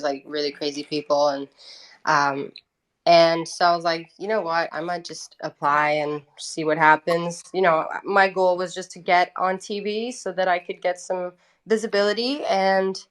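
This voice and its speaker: Woman, lowish voice, informal interview storytelling, thinks aloud, peppers speech with "you know". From Italy. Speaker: guest, independent singer-songwriter. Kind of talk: casual conversational interview storytelling.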